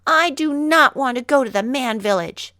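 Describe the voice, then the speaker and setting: Woman acting a high-pitched, defiant little jungle boy. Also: lively intonation, moderate pace, bright, forceful tone. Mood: defiant, upset. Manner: emphatic, protesting cries. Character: defiant young jungle boy, pleading and stubborn.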